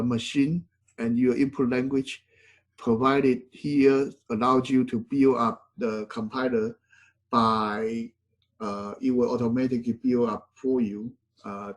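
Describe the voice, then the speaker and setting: Husky, halting male lecturer. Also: mid-range voice, darkish tone. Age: middle-aged. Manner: halting, many fillers and self-corrections. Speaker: university lecturer. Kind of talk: classroom lecture.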